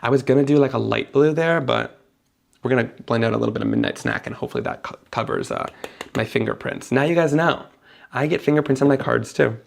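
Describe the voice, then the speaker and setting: Man, young adult, sniffly, gravelly voice, mid pitch, enthusiastic step-by-step crafting demo. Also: sing-song, fast. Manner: enthusiastic, chatty, narrates each step. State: sniffly after being sick. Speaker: card-making demonstrator. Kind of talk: live crafting tutorial.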